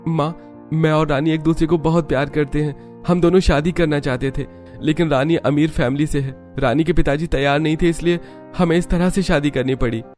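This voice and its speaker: Man voicing earnest, higher-pitched young husband. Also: fairly even intonation, fairly quick, a little mellow, faint music behind, lightly acted. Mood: apologetic, sincere. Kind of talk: Hindi cartoon story dialogue.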